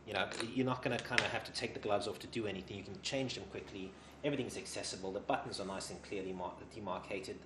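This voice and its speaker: A man's voice: lowish voice, fast talker, few pauses, background noise. A man in his thirties chatting casually and commenting as co-host of a product demonstration. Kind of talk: casual chat, reacting and commenting. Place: indoor tool workshop showroom.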